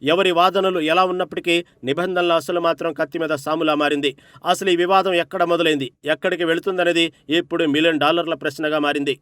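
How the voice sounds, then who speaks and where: Fast-talking male news narrator, higher-pitched. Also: fairly even intonation, fairly bright tone, dry recording.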